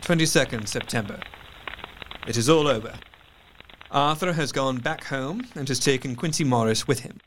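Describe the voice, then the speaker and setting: An actor playing a sober, observant doctor who narrates his diary in a measured, reflective way in an audio drama. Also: mid-range voice, fairly bright tone, slight background noise, natural voice, low-quality recording. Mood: sombre reflection. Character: thoughtful, sober English doctor, loyal and observant.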